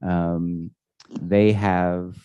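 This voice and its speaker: Low, dark-voiced man with many ums. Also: little pitch movement, extremely slow, clear, resonant tone, dry recording. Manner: hesitant, many fillers and restarts. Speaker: retirement community administrator answering residents. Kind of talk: community meeting Q&A. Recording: dull, dry lo-fi audio.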